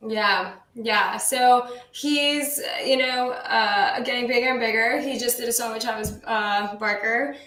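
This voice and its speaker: A woman's voice: a little high, steady tone, a little slow, hardly any pauses, fairly bright tone. Rambling woman co-host in a roomy echo. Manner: rambling, heavy on fillers. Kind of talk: casual podcast chat.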